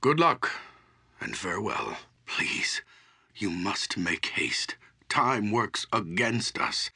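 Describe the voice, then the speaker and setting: An actor playing a grave old archdruid in a fantasy video game, speaking solemnly and deliberately with a gravelly, husky voice. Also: male voice, lowish voice, sing-song, pushed and bright, heavily acted. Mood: urgent, earnest. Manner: solemn, deliberate, portentous storytelling.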